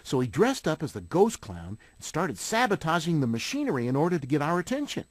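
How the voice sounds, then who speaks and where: An actor playing an earnest, confident young mystery gang leader in a cartoon game, with a clean-cut, take-charge manner. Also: male voice, fairly high pitch, wildly swooping pitch, fairly quick, dry recording. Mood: explaining.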